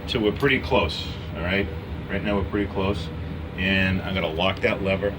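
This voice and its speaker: A man's voice: sits low, fairly even intonation, moderate pace. A man giving an informal, step-by-step hands-on demonstration as a machine shop instructor. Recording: distant mic, noisy machine shop hum.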